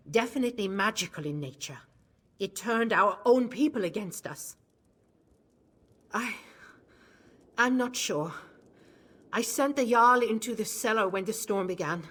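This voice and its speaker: An actress playing an exhausted, loyal court mage in a fantasy video game, earnest and dramatic. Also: female voice, a little high, a bit bright, breathy edge, full character voice. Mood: worried, pleading. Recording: faint music behind the voice.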